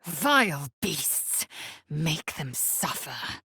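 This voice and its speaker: Proud fierce alien soldier lady for a video game, raspy, curt and haughty, super dry close sound. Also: deep voice, very animated, drawn-out words, sharp tone, no room sound, full character voice. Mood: cold, grim. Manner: haughty, curt, imperious, clipped formal diction. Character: fierce, proud alien warrior woman, militant and scornful.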